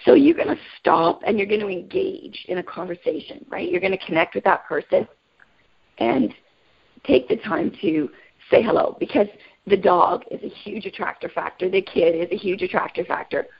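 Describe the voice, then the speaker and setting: Raspy-voiced woman leading a group call. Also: medium pitch, normal speed, highs cut off. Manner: upbeat, energetic, asks group questions. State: raspy voice today. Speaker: team call leader.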